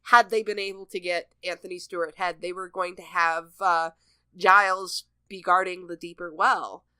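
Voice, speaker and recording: Bright-voiced woman chatting as podcast co-host. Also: on the low side, fairly even intonation, normal speed, clean tone, dry recording. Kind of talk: casual chat about a TV show.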